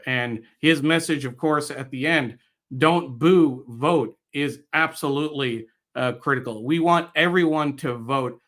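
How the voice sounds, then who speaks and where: A middle-aged American man guest-hosting a live online show, speaking in a halting way with pauses mid-phrase. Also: mid-range voice, bright, forceful tone. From United States, New York area. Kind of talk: live show introduction, reading viewer comments.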